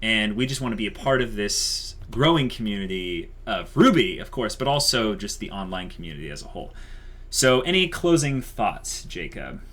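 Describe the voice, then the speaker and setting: A man's voice: fairly low pitch, big pitch swings, normal speed, a bit bright. A man co-hosting an online channel, explaining things informally in casual chat to viewers.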